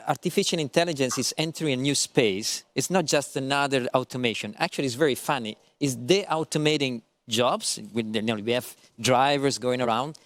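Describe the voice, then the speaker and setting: A man moderating a panel in English, playful and animated, with halting phrasing. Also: mid-range voice, no echo. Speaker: moderator, professor.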